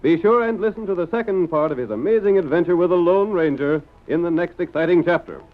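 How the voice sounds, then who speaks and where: A man's voice: sits high, fast talker. American radio announcer man, thin vintage sound. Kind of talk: old-time radio announcing, dramatic scripted narration. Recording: thin, narrow-band vintage radio recording.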